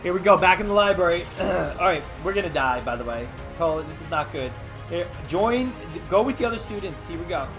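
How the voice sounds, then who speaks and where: A man with a fairly high voice reads a story aloud as host. He is informal and drops chatty asides to his listeners. Faint music plays behind him. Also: expressive, brisk. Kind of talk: casual read-aloud of an interactive book.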